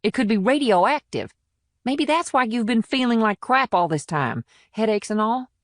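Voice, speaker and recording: A man voicing a blunt, urgent female investigator in an audiobook, plain-spoken and forceful. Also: high-pitched, lively intonation, moderate pace, no room sound, clean studio sound, pitched above the performer's own voice. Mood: alarmed concern.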